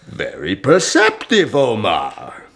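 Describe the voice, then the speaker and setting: A commanding, genial lord and father in a historical radio play. His gravelly voice swings hugely in pitch. He is grand and amused, taking his time over each word. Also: wildly swooping pitch, slow pace, a bit bright, heavily acted. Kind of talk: radio historical drama acting.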